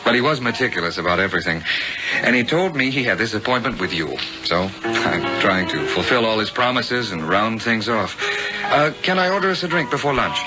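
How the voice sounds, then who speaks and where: An earnest young reporter, played by a male actor, charming and halting, with very lively intonation. It sounds like 1970s radio drama, muffled with loud music. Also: fairly low pitch, lightly acted. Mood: subdued, earnest.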